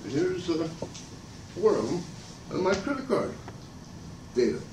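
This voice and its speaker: An older man speaking loudly and abrasively in a rambling public comment at a meeting. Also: very animated, measured pace. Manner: loud and booming, abrasive, rambling and aggrieved. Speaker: member of the public giving comment. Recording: echoey room from far off, noisy.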